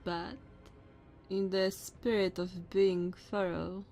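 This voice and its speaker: Woman doing a tall vampire noblewoman for asmr roleplay, really slow and drawn out, haughty, bossy, mocking, talks all formal. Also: fairly low pitch, flat delivery, mellow, soft background music. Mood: imperious, appraising. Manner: slow, condescending, theatrical formal diction.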